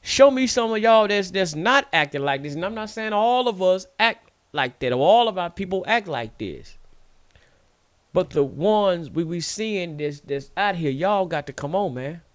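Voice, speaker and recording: A man's voice: high-pitched, lively intonation, moderate pace, no echo. Fired-up preachy man, dry sound. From United States. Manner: preachy, scolding, repetitive emphasis. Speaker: radio show host. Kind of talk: impassioned monologue.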